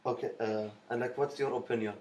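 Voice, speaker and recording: A man's voice: mid-range voice, fairly even intonation, fairly quick, darkish tone, a little hiss or hum. A young man asking interview questions as a student, hesitant and feeling for his words. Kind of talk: interview questions, halting delivery.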